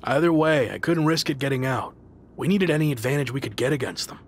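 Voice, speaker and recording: A determined male pro wrestler, voiced by an actor for a video game story. He talks in an average-pitched voice with dry understatement, as a team leader would. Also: animated, a little fast, a little hiss or hum, natural voice. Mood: resolute. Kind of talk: video game cutscene dialogue.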